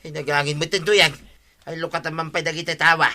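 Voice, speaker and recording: An actor playing a grumbling, henpecked village husband in an Ilocano radio comedy, with an Ilocano accent. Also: male voice, on the high side, little pitch movement, quick pace, bright, forceful tone, a little hiss or hum.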